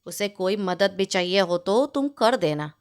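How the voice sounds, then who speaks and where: A woman plays a village landlord from a storybook tale, a man who loves his food and bosses everyone around. He speaks in slow, measured phrases with a demanding, curt edge. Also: mid-range voice, animated, voice pushed down, natural voice. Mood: curt, commanding. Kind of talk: storybook character voice in narrated tale.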